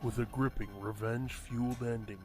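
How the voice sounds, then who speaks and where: A man's voice: mid-range voice, steady tone, fairly quick. A man reading a scripted, dramatic promo narration as a trailer announcer. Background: music.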